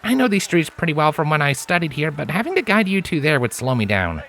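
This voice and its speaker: A man's voice: fairly high pitch, big pitch swings, quick pace, creaky, a bit bright, voice pushed up, full character voice. A man voicing an easygoing young stallion, a pony mage in a fantasy story, casual and reassuring. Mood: reassuring, persuasive.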